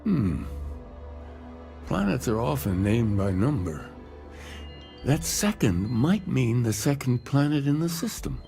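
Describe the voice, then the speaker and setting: An actor playing a curious, thoughtful ally in a video game, musing over clues in an inquisitive way. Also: male voice, medium pitch, very animated, slow, gravelly, long pauses, warm tone, soft background music, full character voice.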